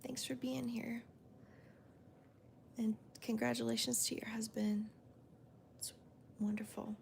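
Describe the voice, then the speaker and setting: Female crochet host, whispery and gravelly, calm and even, counts stitches aloud. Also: a little high, takes it slowly, a little hiss or hum. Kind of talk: soft-spoken crochet demonstration and chat.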